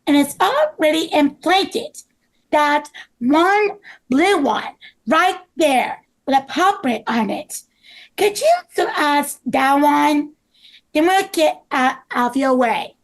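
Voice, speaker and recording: An eager cartoon rescue pup played by a woman, with a very high voice and slow, drawn-out phrasing. The delivery is theatrical and earnest, as in a children's script read aloud. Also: expressive, full character voice, poor audio.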